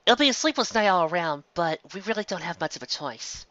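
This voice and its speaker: A man's voice: sits high, expressive, brisk, bright, forceful tone, no echo, muffled recording, voice pushed up. A man voicing a polite, hesitant young female pony in a storybook reading. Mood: polite, explaining. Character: studious young unicorn mare, polite and hesitant.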